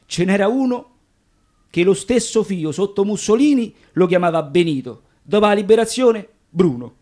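A working-class Roman storyteller in a radio play. His voice sits a little high. He speaks in colloquial dialect, wry and proud, with theatrical emphasis. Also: expressive, normal speed, mellow. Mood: wry, confiding.

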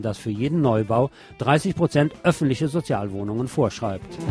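A man presenting scripted local news with opinionated commentary, in the role of a radio show host. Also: medium pitch. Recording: music playing behind the voice.

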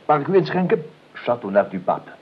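An actor playing a nervous, over-polite and evasive nephew in a Dutch radio play, hesitant, fussy and deferential, given to exclaiming. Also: male voice, medium pitch, big pitch swings, fairly quick, natural voice. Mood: politely uneasy. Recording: dull, noisy old radio recording.

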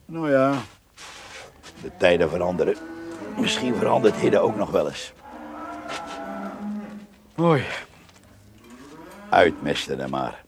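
Gruff rustic farmhand, low voice, slow rambling, animated melody, radio play. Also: male voice, music in the background, exaggerated voice. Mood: musing, amused. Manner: rustic, rambling, talking to himself. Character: gruff, chuckling farmhand muttering to livestock. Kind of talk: radio drama acting.

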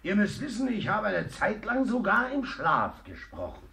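An actor playing an eccentric, talkative servant in a German radio play, effusive and deferential in a theatrical way. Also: male voice, sits high, wildly swooping pitch, normal speed, gravelly, pushed and bright, exaggerated voice. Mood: effusive, dramatic.

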